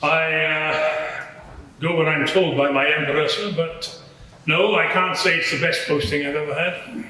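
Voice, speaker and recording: A man's voice: fairly high pitch, steady tone, measured pace, sharp tone. A man playing a dutiful, blunt Roman centurion of fifty-eight, giving formal, clipped answers as a courtroom witness. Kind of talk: courtroom role-play testimony. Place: large echoing courtroom with audience. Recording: echoey hall, background noise.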